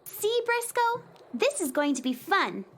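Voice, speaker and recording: A woman's voice: very high-pitched, big pitch swings, unhurried, slight background noise, full character voice. Mary Farfisa, a chirpy little girl. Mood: eager. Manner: eager and chirpy. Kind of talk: audio drama acting.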